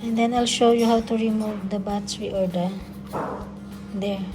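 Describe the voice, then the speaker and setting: A woman's voice: mid-range voice, fairly even intonation, moderate pace, music in the background. A Filipino-accented woman, native in Tagalog, giving a casual product demonstration, talking through each step in short fragments. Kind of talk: casual unboxing and testing demonstration.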